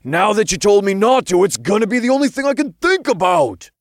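A tiny male imp with a high, strained, whiny voice. He is a crude, wisecracking sidekick in a fantasy audiobook, slangy and exclamatory, with pitch that leaps around. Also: sing-song, fairly quick, no echo, studio quality. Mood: whining.

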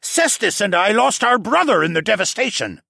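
A man's voice: medium pitch, huge pitch swings, slow pace, fairly bright tone, dry recording, clean studio sound, voice pushed up, exaggerated voice. A man voicing a hostile male lizard-man miner who hisses and accuses. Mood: bitter. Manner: hissing, accusing, spits words.

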